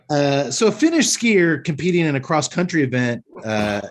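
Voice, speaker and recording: A male podcast host talks with a lively, swinging pitch. He reads out a news item in a joking, wisecracking way, riffing with his friends between sentences. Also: measured pace. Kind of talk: casual comedic banter.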